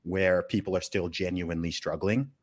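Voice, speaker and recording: A man with a lowish voice hosts a conversational podcast. He argues his views with emphasis, often repeating a word as he builds a point and tagging it with a quick check for agreement. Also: steady tone, breathy edge. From born in the UK, grew up in Saudi Arabia. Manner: opinionated, emphatic, repeats words and often tags points with "right?". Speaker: podcast host.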